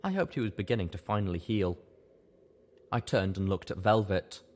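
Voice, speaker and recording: A man's voice: low voice, lively intonation, moderate pace, a little mellow. A man reading a first-person story as scripted audiobook narration. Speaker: audiobook reader.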